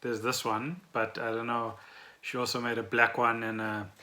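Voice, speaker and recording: A man co-hosting a casual podcast, speaking in a halting, unscripted way. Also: mid-range voice, level tone, sharp tone. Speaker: podcast co-host. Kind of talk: casual conversational podcast chat.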